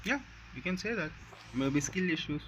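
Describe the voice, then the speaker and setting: High-pitched, animated male game streamer. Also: normal speed, slight background noise, poor audio. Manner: reactive, exclamatory. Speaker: game livestreamer. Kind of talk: casual live gaming commentary mixing English and Hindi. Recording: game music behind the voice. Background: game music.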